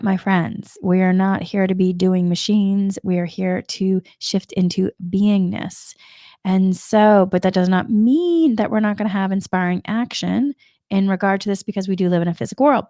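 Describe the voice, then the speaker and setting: Gentle rambling woman, slight Boston accent. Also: mid-range voice, no echo, studio quality. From Massachusetts. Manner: repetitive, gentle, rambling with many restarts. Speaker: spiritual energy forecaster. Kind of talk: live-streamed spiritual talk. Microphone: live stream microphone.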